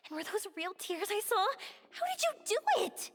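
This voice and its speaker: A woman's voice: very high voice, big pitch swings, moderate pace, soft background music. A woman playing a flamboyant young female film director in a video game, dramatic and exclamatory, gushing and bossy. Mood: gushing, delighted.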